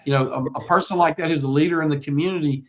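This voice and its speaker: A middle-aged man with a slightly high voice hosts a local interview show. He talks conversationally and is effusive and affirming toward his guest. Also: fairly even intonation, very fast, a bit bright. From small-town Louisiana, United States.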